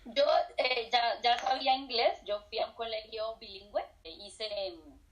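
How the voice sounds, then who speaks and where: A woman's voice: on the high side, fairly even intonation, measured pace, poor audio. A middle-aged woman from Colombia, a professor being interviewed, switching between Spanish and English with frequent fillers. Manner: hesitant, many fillers and restarts. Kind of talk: casual interview answers.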